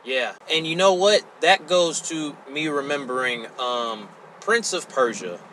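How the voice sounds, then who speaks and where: A man's voice: fairly high pitch, lively intonation, unhurried, pushed and bright, slight background noise, poor audio. A man co-hosting a podcast, chatting casually and exclaiming often. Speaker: podcast co-host. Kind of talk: casual chat.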